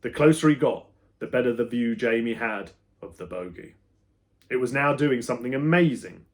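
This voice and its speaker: A man reading his own children's book aloud as an expressive storyteller, with dramatic emphasis that moves between loud and hushed. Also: medium pitch, moderate pace.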